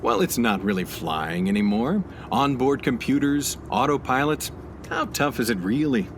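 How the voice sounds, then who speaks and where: An actor playing an overconfident, smooth-talking male airline passenger in a radio play, with a breezy, self-assured manner. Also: mid-range voice, sing-song, moderate pace, noisy background. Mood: breezy reassurance.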